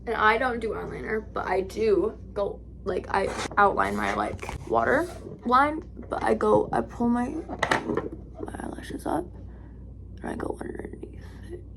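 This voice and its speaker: A young woman with a raspy voice, chatting casually through a makeup tutorial, rambling and correcting herself as she goes. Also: mid-range voice, very animated, measured pace, noisy background. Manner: rambling, self-correcting, lots of "like". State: raspy today. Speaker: vlogger.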